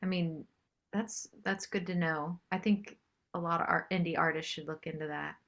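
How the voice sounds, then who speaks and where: A woman's voice: fairly low pitch, fairly even intonation, normal speed, fairly bright tone. A woman speaking as a singer-songwriter guest in a casual interview chat.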